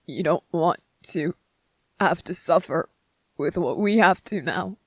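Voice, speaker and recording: A gentle young soldier, as a performer acts him out speaks very slowly in a soft-edged, husky voice. He is vulnerable and devoted, halting between phrases in an intimate, dry-sounding ASMR roleplay. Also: medium pitch, no room sound. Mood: sad, resigned. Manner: tender, hesitant, halting, earnest.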